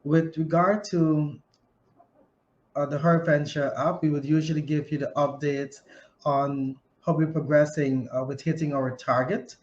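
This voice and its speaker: Male Guyanese business show presenter, slightly high voice, semi-formal updates, a little muffled recording. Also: level tone, unhurried.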